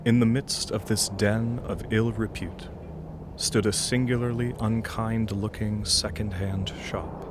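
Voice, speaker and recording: A man's voice: lowish voice, steady tone, unhurried, noisy background, close to an everyday voice. A man narrating an audio drama as a grave, solemn storyteller with an ominous manner.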